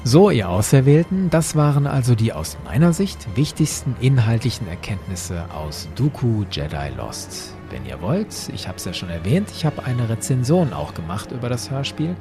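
A man hosting a podcast, giving explanatory, semi-scripted commentary with clear emphasis. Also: mid-range voice, sing-song, moderate pace, hardly any pauses, music in the background. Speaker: podcast host.

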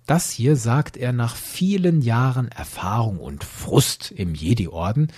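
A man's voice: medium pitch, lively intonation, slow pace, darkish tone, slightly rough, no echo, clean studio sound. A man hosting a podcast, narrating and explaining, posing questions and answering them. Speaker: podcast host.

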